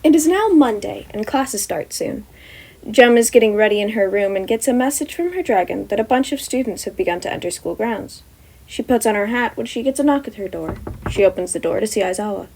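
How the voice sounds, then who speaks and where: A woman's voice: medium pitch, expressive, fairly quick, gravelly, mellow, husky, rough recording. A woman reading scripted story narration as a podfic narrator.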